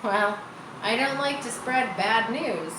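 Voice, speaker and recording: A woman's voice: a little high, expressive, slow pace, echoey room, noisy background. A woman voicing a grim, gossipy old female sheep from a storybook, with a matter-of-fact, snappish manner. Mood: knowing, gossipy.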